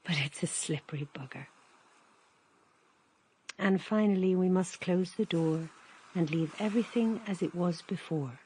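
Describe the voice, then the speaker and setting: A woman narrating a radio drama in a quiet, lyrical, measured way. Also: on the low side, a little hiss or hum.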